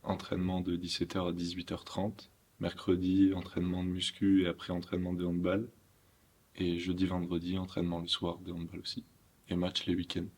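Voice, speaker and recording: Deep, husky young man, hesitant. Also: monotone, fairly quick, darkish tone. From Picardy, France. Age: late teens. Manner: hesitant, many fillers. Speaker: student athlete. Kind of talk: documentary interview.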